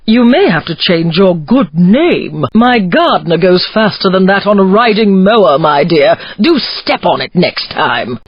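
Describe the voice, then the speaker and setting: A woman's voice: on the low side, slightly rough, dry recording, highs cut off. A woman playing a snobbish upper-class English society lady who is witheringly polite, in a video game. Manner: genteel, condescending, arch. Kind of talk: scripted video game announcer lines.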